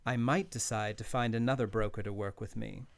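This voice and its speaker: An actor playing a cold, condescending crime boss making measured threats in a radio drama. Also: male voice, lowish voice, steady tone, creaky, dry recording. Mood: cool, menacing. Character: cold, menacing male racketeer boss.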